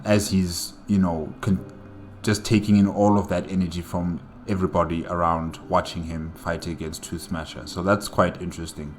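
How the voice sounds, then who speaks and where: Slow-talking low-voiced man reacting casually. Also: a little hiss or hum. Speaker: reaction video host. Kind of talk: casual solo reaction commentary.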